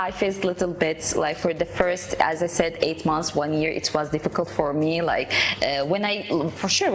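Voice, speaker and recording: A woman from Syria with a Syrian Arabic accent, chatting casually in halting non-native English peppered with filler words. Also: fairly low pitch, a little hiss or hum. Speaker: real estate professional, interview guest. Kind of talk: casual conversational interview.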